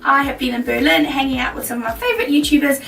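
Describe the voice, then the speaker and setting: A woman with a croaky, worn voice chatting casually to camera as a baking vlogger, bubbly and laughing easily. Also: high voice, fast, a bit bright, echoey room, recorded from a distance, soft background music. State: croaky, losing her voice.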